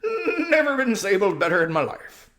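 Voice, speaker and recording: A man voicing a proud, grumbling, excitable talking horse for a children's storybook, in a boisterous manner. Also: sits high, normal speed, pitched above the performer's own voice. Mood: blustering, huffy.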